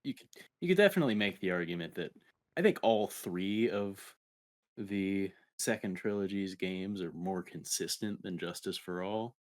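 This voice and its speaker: A man's voice: low voice, lively intonation, normal speed, dry recording. A man co-hosting a podcast, giving his opinions on video games in informal, conversational talk. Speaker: podcast co-host. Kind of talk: casual video game podcast chat.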